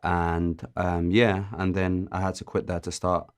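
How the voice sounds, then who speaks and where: Deep-voiced young man from Azerbaijan. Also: flat delivery, a little slow, clean tone. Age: 20s. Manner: laid-back, laughs often, hesitant with many ums. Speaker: podcast guest, music producer. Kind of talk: casual interview chat.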